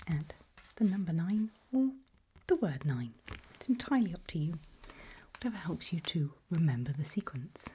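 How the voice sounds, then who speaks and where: A woman playing a calm, reassuring doctor in an ASMR roleplay, speaking in a gentle breathy whisper. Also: lowish voice, slight background noise. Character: calm reassuring female doctor running a creepy memory test.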